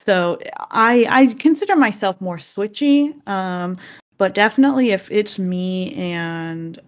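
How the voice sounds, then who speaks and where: A woman's voice: medium pitch, expressive, measured pace. A woman hosting an interview, chatting casually and giggly with her guest. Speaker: podcast host.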